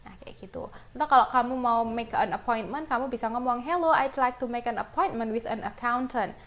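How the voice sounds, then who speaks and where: A woman's voice: on the high side, brisk, fairly bright tone. A woman with an Indonesian accent teaching an English lesson informally, explaining example phrases and talking directly to students.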